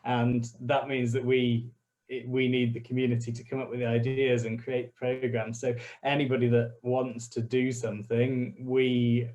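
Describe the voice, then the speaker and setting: A man speaks over a video call, his pitch staying flat and even. He is friendly and a little hesitant, restarting sentences and laughing lightly now and then. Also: normal speed, dull audio. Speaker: community radio station representative. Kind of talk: online interview, casual explaining.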